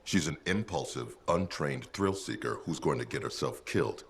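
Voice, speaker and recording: A gruff, humorless commander from a video game cutscene. His voice sits at the very bottom of the range, rough with gravel. He speaks in clipped, disapproving lines. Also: lively intonation, normal speed, slight background noise, exaggerated voice. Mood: stern. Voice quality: deep gravelly voice. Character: stern gruff male military commander. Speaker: military commander.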